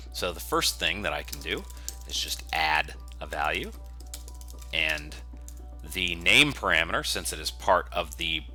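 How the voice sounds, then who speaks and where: A man giving an informal, step-by-step software screencast tutorial, explaining conversationally as he works. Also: low voice.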